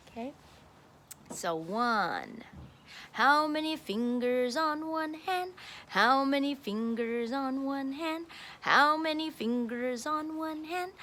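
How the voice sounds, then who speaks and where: Female early-years teacher, high-pitched and unhurried, sing-song delivery for a children's read-aloud and counting lesson. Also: bright, forceful tone, a little hiss or hum.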